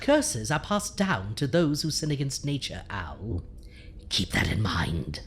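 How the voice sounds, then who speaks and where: A woman's voice: a bass voice, wildly swooping pitch, measured pace, very noisy, heavily acted. A woman playing a macabre, sardonic horror host doctor in an audio comedy, speaking in a dry, theatrical way. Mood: ominous. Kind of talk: horror anthology radio comedy.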